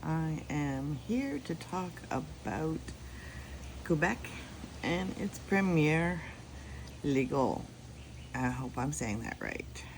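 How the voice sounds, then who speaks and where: Canadian male spiritual reader, unhurried and deliberate delivery, noisy background. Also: a little high, expressive. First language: English. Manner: slow, deliberate question-and-answer delivery. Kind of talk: pendulum reading with personal commentary.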